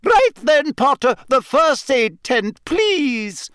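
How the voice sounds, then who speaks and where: A man voicing a stern, authoritative older woman professor in a storybook, speaking briskly. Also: high-pitched, sing-song, fairly bright tone, no echo, clean studio sound, pitched above the performer's own voice, heavily acted. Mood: brisk, approving.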